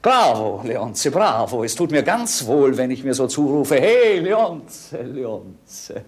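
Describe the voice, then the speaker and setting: A man's voice: mid-range voice, big pitch swings, slow pace, slightly rough, exaggerated voice. A man playing a bored, brooding, self-mocking young prince in a 1950s radio play, declaiming lyrically and theatrically. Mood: brooding, world-weary.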